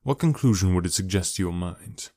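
A man voicing a keen, analytical detective in an audiobook story, speaking in a quiet, dry, precise and instructive way. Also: deep voice, lively intonation, a little fast, dry recording.

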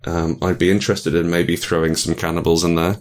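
A man's voice: low voice, level tone, brisk. A man guiding a tabletop roleplaying session, thinking aloud casually and often repeating phrases as he works ideas out. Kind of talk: casual collaborative brainstorming.

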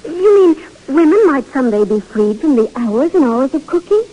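An actress in an old radio drama playing a captain's eager young daughter, wondering and a little flustered. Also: female voice, sits high, big pitch swings, normal speed, dark tone, rough recording. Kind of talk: 1940s radio drama acting.

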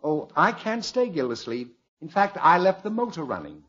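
A mild, affable middle-aged husband in an old radio comedy. His voice is fairly high and he sounds in a hurry. The recording is dull. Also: big pitch swings, normal speed, a bit bright. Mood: brisk, affable. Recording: muffled vintage radio recording.

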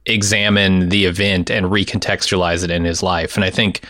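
Bright, lowish-voiced man discussing on a podcast. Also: little pitch movement, moderate pace, no echo, studio quality. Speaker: podcast co-host. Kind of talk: casual analytical discussion.

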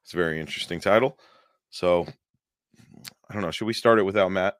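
Hoarse low-voiced male podcast host. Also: fairly quick, no echo, studio quality. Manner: rambling, many fillers, chuckling. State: hoarse, losing his voice. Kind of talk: casual livestream chat.

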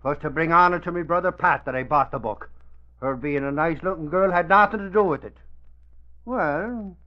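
An actor playing a gullible, good-natured, excitable Irishman who blusters indignantly in an Irish accent, in old radio drama style. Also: on the high side, moderate pace, highs cut off, natural voice. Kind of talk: 1940s radio drama acting. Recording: band-limited old radio recording.

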